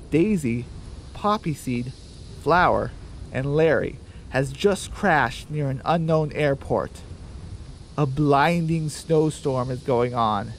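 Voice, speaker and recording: A man narrating a scripted radio drama story in measured sentences. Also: on the high side, a little hiss or hum.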